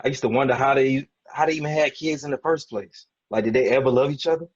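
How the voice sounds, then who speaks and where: A man's voice: medium pitch, quick pace, lo-fi sound, close to an everyday voice. A man playing a defensive, frustrated young husband in a dramatic table read, arguing in a colloquial way. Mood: subdued, reflective. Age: young adult. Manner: argumentative, colloquial.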